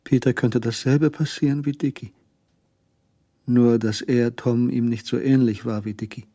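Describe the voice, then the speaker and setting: A man narrating a crime radio drama in a sober, literary manner with measured, clipped phrasing. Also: medium pitch, steady tone, warm tone, no room sound, clean studio sound, lightly acted. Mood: reflective.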